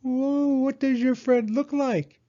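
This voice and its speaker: A man voicing an eager, self-assured owl in a children's storybook, with hooting, drawn-out exclamations. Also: extremely high pitch, steady tone, warm tone, muffled recording, voice pushed up. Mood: intrigued.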